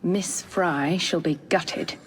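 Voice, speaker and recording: Slow, haughty English villainess. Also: female voice, lowish voice, a little hiss or hum. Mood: sinister. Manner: haughty, deliberate, menacing. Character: cold, arrogant, sinister Victorian female villain. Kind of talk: dramatic video game cutscene acting.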